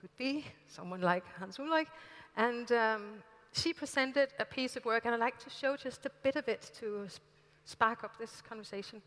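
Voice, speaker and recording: A woman's voice: on the high side, moderate pace, a bit bright. A woman moderating a public conversation on a stage, thoughtful and searching for her words. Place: stage in a large hall. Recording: reverberant hall.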